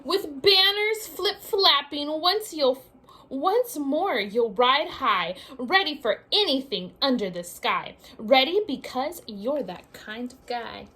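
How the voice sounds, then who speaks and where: A woman teacher doing an expressive children's read-aloud, speaking directly to her young listeners in an animated way. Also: sits high, slow pace, a little hiss or hum. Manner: animated, addresses children directly with questions and asides. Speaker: teacher reading a story aloud to young pupils.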